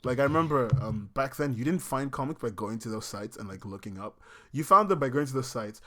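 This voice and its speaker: A man's voice: mid-range voice, animated, fairly quick, pushed and bright. A young man with a British accent who grew up speaking another language, co-hosting a casual podcast chat, laid-back, swearing freely and laughing often. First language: not English. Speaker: podcast co-host.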